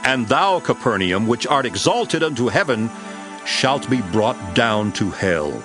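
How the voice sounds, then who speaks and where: A man voicing Jesus in a dramatized Bible reading, solemn and measured with bursts of intensity. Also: medium pitch, expressive, lightly acted. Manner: solemn measured delivery, rising to intense exclamations. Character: Jesus, calm grown man teacher, solemn and gentle. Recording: studio voice with music beneath.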